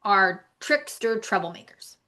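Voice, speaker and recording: A woman with a very bright, forward voice at a medium pitch talks casually as a podcast co-host. She is chatty and informal, reviewing places with plain opinions in an everyday conversational style. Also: expressive, a little slow. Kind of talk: casual podcast chat about travel.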